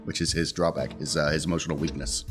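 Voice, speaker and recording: A man's voice: very deep voice, level tone, fast talker, fairly bright tone, soft background music. A man talking casually as a tabletop role-playing player, explaining the character he plays. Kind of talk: casual game-table chat, describing his character.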